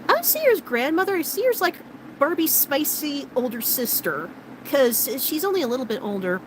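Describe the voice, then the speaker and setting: A woman's voice: sits high, very animated, fairly quick, a little hiss or hum, rough recording. A woman in her late thirties chatting casually and rambling with viewers, many fillers, her voice starting to wear out. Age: 30s. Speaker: vlogger. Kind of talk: casual chat with live viewers.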